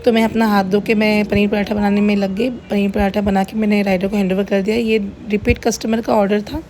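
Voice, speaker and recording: A middle-aged woman narrating a casual home-kitchen vlog in a plain, everyday way, her voice slightly hoarse as if under the weather. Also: mid-range voice, level tone, a little fast, darkish tone. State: mildly unwell, voice a little hoarse. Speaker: home cook running a cloud kitchen, vlogger. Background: background music.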